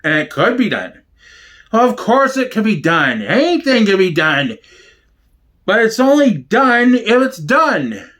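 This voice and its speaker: A man's voice: high voice, sing-song, measured pace, a bit bright. A man giving a theatrical one-man dramatic reading of sitcom dialogue, switching between characters.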